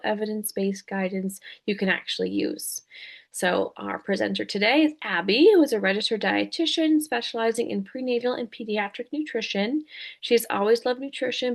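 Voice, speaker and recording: Woman with a gravelly webinar welcome. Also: medium pitch. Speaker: webinar host, dietitian. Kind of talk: scripted webinar introduction.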